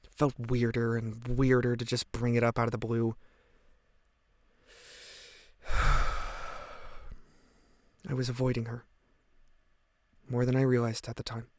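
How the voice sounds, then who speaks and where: A man's voice: mid-range voice, monotone, normal speed, pauses often, darkish tone, no echo, close to an everyday voice. A man acting a guilt-ridden, earnest teenage boy giving hesitant, reflective testimony in an audio drama. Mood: regretful. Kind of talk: audio drama monologue, police interview testimony.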